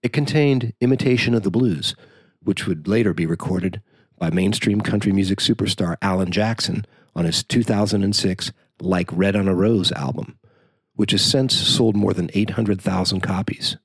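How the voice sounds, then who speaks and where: A man's voice: on the low side, moderate pace, breathy edge, no room sound. A man reading a written magazine-style profile aloud as a journalist narrator.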